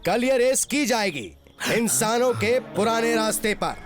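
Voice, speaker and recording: A man voicing a calm, dignified wolf pack leader in a cartoon, measured and authoritative, with music behind. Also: very high voice. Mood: solemn.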